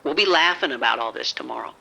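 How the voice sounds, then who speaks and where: An actor playing a reckless gambler in an audio drama, cocky and breezy, always coaxing and persuading. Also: male voice, mid-range voice, lively intonation, fast, creaky, pushed and bright, heavily acted, poor audio. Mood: dismissive, reassuring.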